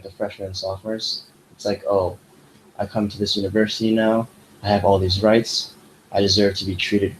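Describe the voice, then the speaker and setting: Animated young man with low voice. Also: slight background noise, low-quality recording. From United States. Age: young adult. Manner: animated, rambling, many fillers. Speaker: podcast host. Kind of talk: casual chat.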